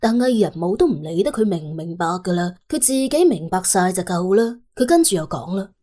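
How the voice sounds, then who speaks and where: A woman's voice: on the low side, sing-song, brisk, warm tone. A woman with a Cantonese accent narrating a story in a colloquial, dramatic storytelling manner. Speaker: audiobook narrator.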